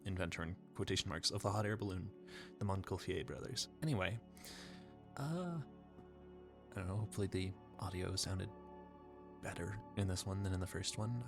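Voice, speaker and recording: A young man chatting casually to his viewers in an informal, hesitant and self-effacing way. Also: sits low. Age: young adult. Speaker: amateur voice actor, channel creator.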